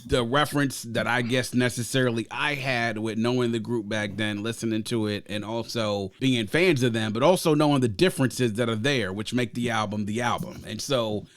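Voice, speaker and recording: A man hosting a casual music review podcast, talking in a measured, diplomatic way and often trailing off softly. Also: medium pitch, fairly even intonation, no echo. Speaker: podcast host.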